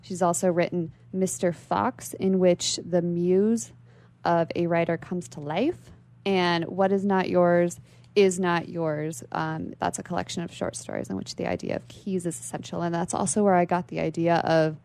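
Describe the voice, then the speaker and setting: A woman's voice: fairly low pitch, steady tone, moderate pace, creaky, a little mellow. A woman speaking as an interview guest in a literary radio discussion, articulate and bookish.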